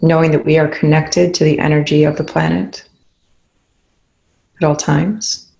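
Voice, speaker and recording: A meditation instructor leading a guided session in a soothing, gentle, unhurried way with long pauses. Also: medium pitch, flat delivery, gravelly, roomy sound, poor audio.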